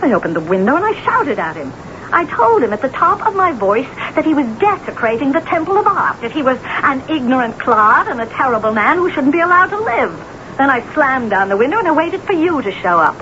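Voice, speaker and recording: Imperious, high-strung lady novelist, swooping high voice. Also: very animated, brisk, pushed and bright, slight background noise, full character voice. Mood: furious, indignant. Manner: theatrical, scornful, biting delivery with emphatic repetition. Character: imperious, high-strung woman novelist raging at her husband. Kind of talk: old-time radio drama acting. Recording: muffled lo-fi radio drama tape.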